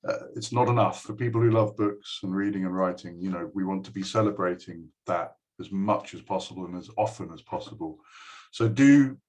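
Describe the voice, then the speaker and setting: A man's voice: deep voice, a little fast, darkish tone. A middle-aged man giving a recorded address to a school, talking hesitantly with many ums and restarts. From United Kingdom. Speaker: guest speaker addressing a school.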